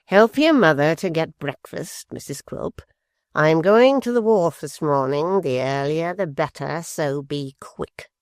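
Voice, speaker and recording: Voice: medium pitch, expressive, clear, resonant tone, no room sound, clean studio sound, lightly acted. Narrator voicing a leering, mocking dwarf. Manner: slow, mocking, falsely affectionate. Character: malicious hunchbacked male dwarf, leering and cunning.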